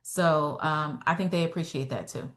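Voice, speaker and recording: A woman real estate agent and trainer answering podcast interview questions in a steady, self-assured way, explaining step by step with fillers. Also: sits low, moderate pace. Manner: firm and self-assured about her own voice.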